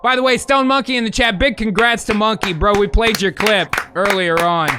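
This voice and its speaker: An American man hosting a casual livestream talk show, rambling and swearing freely as he thinks out loud. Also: high-pitched, fairly even intonation, a little fast, background noise. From United States. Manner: rambling, profane, thinks aloud. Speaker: host.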